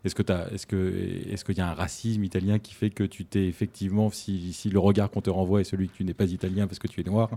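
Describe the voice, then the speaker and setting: A man interviewing a guest, asking earnest questions and rephrasing them to be clear. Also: low voice, little pitch movement, fast, few pauses, warm tone.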